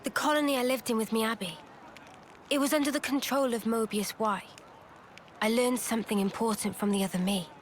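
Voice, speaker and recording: Earnest young warrior woman for game cutscenes, played by a woman: lightly high, gentle, sincere, hesitant. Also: fairly even intonation, a little fast, slight background noise, close to an everyday voice. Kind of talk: video game cutscene acting.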